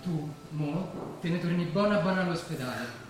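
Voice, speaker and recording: A young actor with a Roman accent playing a devoted, boastful working-class boyfriend in a stage drama, colloquial and earnest. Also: male voice, on the high side, moderate pace, distant mic, close to an everyday voice. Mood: gentle firmness. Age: young adult. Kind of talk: naturalistic stage drama acting. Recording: very echoey hall from afar, faint noise.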